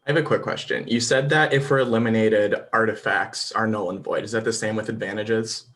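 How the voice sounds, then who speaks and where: A man's voice: lowish voice, steady tone, a little fast, a bit bright. Twenty-something guy from michigan on a video call, upbeat. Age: 20s. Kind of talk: casual introduction.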